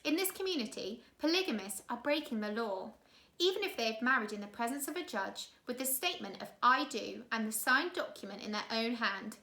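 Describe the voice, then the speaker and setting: A woman's voice: a little high, expressive, brisk, pushed and bright. A woman voicing an anxious, bookish orphan boy in a storybook, earnest, in a roomy space. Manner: questioning, earnest, mournful. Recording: roomy, echoey room sound.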